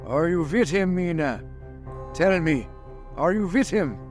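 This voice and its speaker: A man's voice: fairly high pitch, lively intonation, a little slow, faint music behind. A man playing a commanding, urgent vampire hunter in a theatrical, insistent old-time radio drama style. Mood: probing, intent. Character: commanding, urgent male vampire hunter questioning a hypnotized woman.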